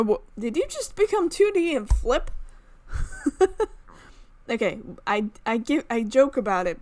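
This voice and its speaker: A woman's voice: mid-range voice, no room sound. A woman doing playful let's-play commentary and reading visual novel text aloud, with bubbly little repeats and sound effects. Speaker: gaming YouTuber.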